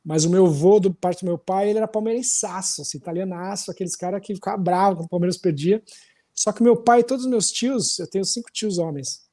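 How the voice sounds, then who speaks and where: A man in his forties with a Paulistano accent talks in a loose, meandering way, often tagging his sentences with little questions. His voice sits a bit high for a man but sounds warm and mellow. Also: fast talker. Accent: Paulistano Brazilian Portuguese. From São Paulo, Brazil. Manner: relaxed, rambling, with frequent tag questions. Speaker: podcast guest, musician. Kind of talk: casual storytelling chat.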